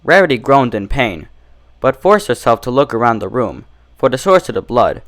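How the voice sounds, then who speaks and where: A man presenting scripted fan fiction readings and reviews as host. His pitch moves in a very lively way, and his delivery is deliberate and expressive. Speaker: story narrator and reviewer, channel host.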